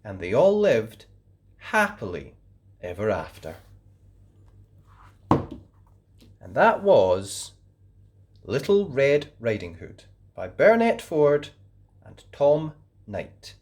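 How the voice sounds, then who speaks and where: A woman hosting a channel of read-aloud children's stories, reading gently and expressively. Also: very deep voice, very animated, drawn-out words, long pauses, slight background noise. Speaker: storyteller host.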